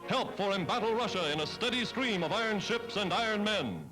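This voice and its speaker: A man's voice: sits high, very animated, fairly quick, low-quality recording. An American man narrating a scripted 1940s wartime newsreel in an emphatic, declamatory manner, on a vintage soundtrack. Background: loud-ish music under the voice.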